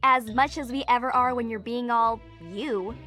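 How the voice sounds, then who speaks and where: A young cartoon girl, sensible and brainy. Her high voice is crisp and forceful with lively swings in pitch. She has a dry, sarcastic manner, over faint music. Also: normal speed. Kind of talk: animated cartoon game acting.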